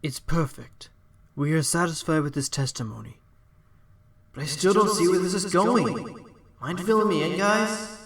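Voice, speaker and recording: A man's voice: high-pitched, moderate pace, pauses often. Man as earnest young defense lawyer, heavy echo. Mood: puzzled, hopeful. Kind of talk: audio drama acting with inner monologue. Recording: heavily echoing voice, music behind.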